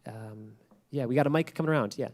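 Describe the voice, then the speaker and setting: A man's voice: mid-range voice, quick pace, a little mellow. A man speaking informally on a panel about his craft, explaining things in an encouraging, hesitant way as he thinks aloud. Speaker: guest speaker, podcast creator. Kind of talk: informal panel talk on storytelling. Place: live library event.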